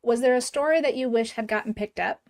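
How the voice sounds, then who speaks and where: A woman's voice: a little high, fast, a little mellow. A woman hosting a podcast, asking her guest curious, friendly questions. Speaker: podcast host. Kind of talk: interview questions.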